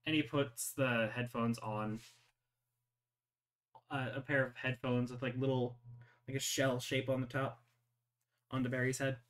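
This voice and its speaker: A game master improvising casually in a tabletop roleplay, speaking in an animated way. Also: unhurried, long pauses. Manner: animated, prone to laughing and squeaking. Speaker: game master voicing characters.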